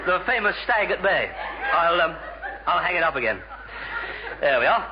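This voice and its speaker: A man's voice: a little high, sing-song, moderate pace. Flustered stammering young Englishman, noisy old radio. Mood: flustered, eager. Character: bumbling, well-meaning, apologetic young English bachelor. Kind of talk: 1950s British radio sitcom acting. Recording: noisy, muffled 1950s radio recording.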